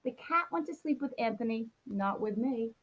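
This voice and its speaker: A woman's voice: fairly high pitch, animated, normal speed. A woman reading a children's picture book aloud, expressively acting out a grumpy child's complaints. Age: adult. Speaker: storybook reader.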